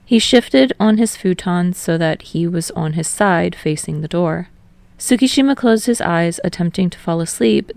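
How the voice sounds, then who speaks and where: A woman narrates a story in a fairly low voice with noticeable vocal fry. It is a scripted audiobook read, recorded very dry. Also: darkish tone, dry recording. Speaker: podfic narrator.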